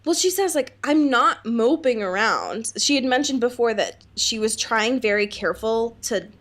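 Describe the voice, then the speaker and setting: A woman's voice: a little high, expressive, moderate pace, a bit bright. A woman co-hosting a podcast, chatting casually about a book and acting out quotes with informal asides. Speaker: podcast co-host. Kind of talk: casual book discussion chat.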